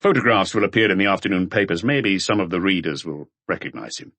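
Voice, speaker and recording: A man voicing a brooding middle-aged police detective in an audiobook, measured and matter-of-fact. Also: low-pitched, expressive, a bit bright, no room sound, exaggerated voice.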